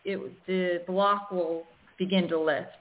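Halting woman medium over a phone line. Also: fairly low pitch, fairly even intonation, heavy background noise. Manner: hesitant, many ums and restarts. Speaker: medium answering callers. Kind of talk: intuitive reading, casual and halting.

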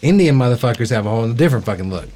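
A man's voice: mid-range voice, lively intonation, very fast, dark tone, a little hiss or hum. A man hosting a casual talk show, rambling bluntly and swearing heavily as he goes. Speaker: podcast host. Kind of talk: casual profane chat.

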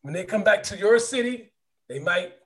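A man co-hosting a podcast, joking and a bit halting as he makes his point. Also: sits high, animated, a bit bright. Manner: loose and joking, halting with fillers. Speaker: podcast co-host. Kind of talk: casual podcast chat.

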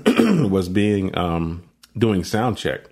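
A man who plays saxophone, telling stories in a relaxed, casual way with small chuckles between thoughts. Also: deep voice, extremely slow, breathy edge. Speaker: saxophonist and co-host. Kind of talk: casual conversation.

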